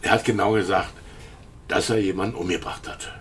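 An actor playing a shady, evasive informant in a radio drama, with a halting, hemming way of talking. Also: male voice, low voice, fairly even intonation, breathy edge. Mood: flustered, insistent. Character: shady, evasive pub-going male informant, eager and shifty. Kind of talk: naturalistic German radio drama acting.

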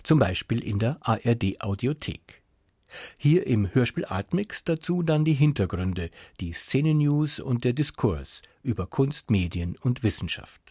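A man's voice: mid-range voice, lively intonation, measured pace, warm tone, slightly rough, no room sound, studio quality. A man reading a scripted programme announcement as a radio announcer. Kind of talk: scripted radio outro narration.

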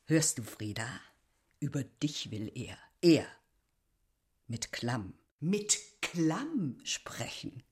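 An actress playing a proud, reproachful village innkeeper's wife in a radio drama, emphatic and often dropping into whispers. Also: female voice, very deep voice, sing-song, darkish tone, heavily acted. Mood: disbelieving. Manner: slow, emphatic, drops into breathy whispers. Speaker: actor. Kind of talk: literary radio drama acting.

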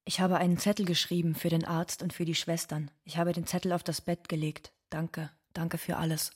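A woman playing a stoic, bitter undocumented immigrant from Ecuador, speaking in deliberate, restrained radio drama monologue. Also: lowish voice, little pitch movement, husky. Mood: stoic, sad.

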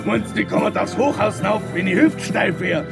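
A man playing a boastful, flirtatious party-goer in a gorilla costume, gravelly, in a Bavarian dialect audio drama. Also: sits high, lively intonation, moderate pace, loud music behind the voice, heavily acted. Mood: swaggering, flirty.

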